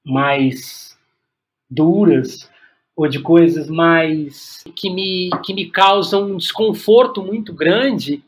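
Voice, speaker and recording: A Brazilian man speaking reflectively as an interview guest, often halting and repeating words as he thinks aloud. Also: a little high. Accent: Brazilian Portuguese. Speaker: podcast guest, playwright and director.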